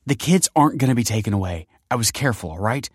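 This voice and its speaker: Casting: male reader as an emotional, self-justifying wife in a story, tearful, high and very animated. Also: fast talker, breathy edge, dry recording, studio quality. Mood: defensive, agitated. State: tearful, sniffing. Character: distraught, defensive wife pleading tearfully with her husband.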